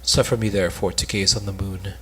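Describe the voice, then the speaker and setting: A young man reading the part of a devoted, lovesick courtier in an Elizabethan stage comedy, in a measured, declamatory way. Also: low-pitched, steady tone, sharp tone, a little hiss or hum. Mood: reverent. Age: young adult. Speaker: student reader in an amateur play reading.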